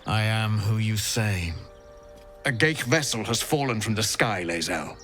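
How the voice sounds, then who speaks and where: A man playing a haughty, menacing alien knight commander in a video game, speaking imperiously and deliberately. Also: fairly low pitch, expressive, a bit bright, soft background music. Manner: imperious, slow, deliberate, threatening. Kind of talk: dramatic video game acting.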